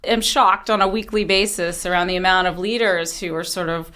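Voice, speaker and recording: A woman's voice: on the low side, fairly even intonation, brisk, pushed and bright. An American woman hosting a casual interview, affirming her guest and thinking aloud in an informal way. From Ohio, United States. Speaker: podcast host.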